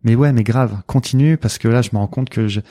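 A man's voice: medium pitch, brisk, warm tone, dry recording, clean studio sound. A middle-aged man with a Marseille accent, speaking as an interview guest and coach, hesitant with many fillers and restarts. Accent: Marseille French. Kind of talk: casual interview talk.

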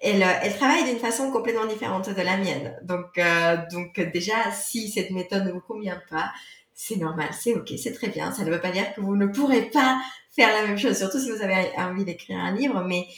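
A woman's voice: mid-range voice, fairly quick, a bit bright, reverberant. A woman, not a native French speaker, being interviewed on a podcast as an author, talking hesitantly with many fillers and restarts. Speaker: guest author.

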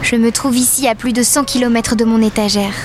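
A woman's voice: fairly high pitch, steady tone, a little fast, background noise, close to an everyday voice. A woman voicing a tender, anxious, observant living rag doll who narrates an audio drama. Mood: weary. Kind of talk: scripted audio fiction narration.

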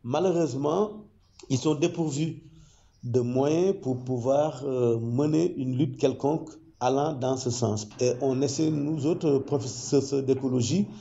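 A man with a gravelly, dark voice explains ecology in a measured, deliberate way, pausing often to find his words. The sound is slightly dull and muffled, as if recorded on modest equipment. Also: medium pitch. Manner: measured, explanatory, with frequent hesitations. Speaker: ecology professor. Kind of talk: interview testimony.

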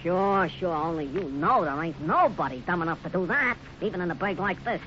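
A man's voice: high voice, lively intonation, a little fast, sharp tone. A man playing a sour, doubting thug sidekick with an American tough-guy way of talking in a 1950s radio western. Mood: sour, doubtful. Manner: grumbling, ungrammatical tough-guy talk. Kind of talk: 1950s radio villain acting. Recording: muffled, slightly noisy old radio recording.

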